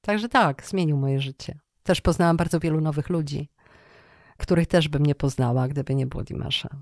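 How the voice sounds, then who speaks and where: Middle-aged woman, low-key dry recording. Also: normal speed, a little mellow, no room sound. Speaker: interview guest, storyteller and radio programme director. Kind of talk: conversational personal storytelling in an interview.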